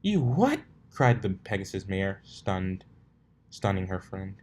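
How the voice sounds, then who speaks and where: A man's voice: sits low, little pitch movement, a little slow. A man narrating fanfiction aloud, now and then stumbling and rereading a phrase. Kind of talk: story narration, read aloud.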